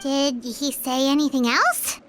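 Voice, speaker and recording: A woman voicing a small, excitable, naive childlike fairy companion in a video game, expressive in manner. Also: high-pitched, measured pace, a little hiss or hum. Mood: uneasy, curious. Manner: refers to herself in third person, expressive.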